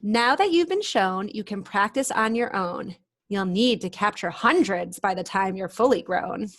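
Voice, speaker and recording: Woman putting on a brisk, commanding dragon schoolmistress, animated pitch. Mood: brisk, emphatic. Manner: exclaims, then lectures in rhyme.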